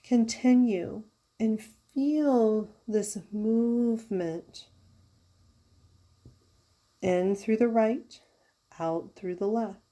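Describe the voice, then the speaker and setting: A woman leading a guided yoga nidra meditation as a teacher, giving soothing, unhurried instructions with pauses. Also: on the high side, mellow. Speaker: yoga therapist guiding a meditation.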